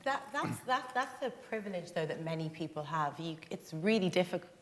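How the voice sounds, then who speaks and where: A woman with a softened Irish accent speaking analytically and articulately as a panellist in a live discussion in a theatre. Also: on the low side, lively intonation, fairly quick. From Dublin, Ireland. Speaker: debate panellist. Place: on stage in a theatre. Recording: echoey theatre hall.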